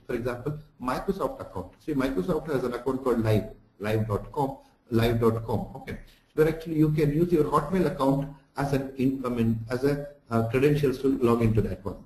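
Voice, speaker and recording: Male online-course lecturer, medium pitch, dark relaxed timbre, didactic delivery with examples and recaps. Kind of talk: slide lecture for an online lesson. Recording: echoey room sound.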